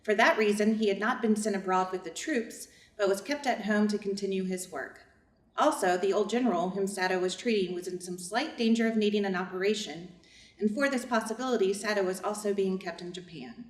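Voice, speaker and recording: A woman's voice: medium pitch, brisk, lightly acted. A woman reading the part of a devoted family servant who narrates a story in a scripted staged reading. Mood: earnest storytelling. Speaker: reader's theater narrator. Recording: echoey room.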